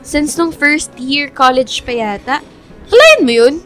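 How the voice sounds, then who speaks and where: A woman's voice: high voice, very animated, slow, fairly bright tone, soft background music, exaggerated voice. A woman playing a playful, teasing young best friend in a Filipino radio drama. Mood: playful, friendly. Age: young adult.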